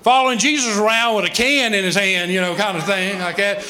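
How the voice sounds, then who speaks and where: A man's voice: sits high, steady tone, brisk, bright, forceful tone. A man in his sixties with a Southern American accent, preaching a sermon emphatically, with rhetorical questions and folksy asides. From Southern United States. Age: 60s. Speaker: pastor.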